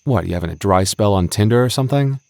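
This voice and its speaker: A low-pitched, exasperated young dungeon master played by a man, the tsundere childhood friend of an audio roleplay. He sounds put-upon and hesitant, speaking in a distinctly deep register. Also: animated, fast talker, creaky, a little mellow, dry recording, studio quality. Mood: frustrated, confronting. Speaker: game master. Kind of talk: ASMR audio roleplay acting.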